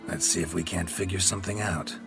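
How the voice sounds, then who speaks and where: Weary monster hunter, very low gravelly voice, terse, deadpan, dry, game dialogue. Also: male voice, fast, music in the background. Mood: wry. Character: gruff, dry, weary monster-hunter investigator, grown man. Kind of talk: video game voice acting.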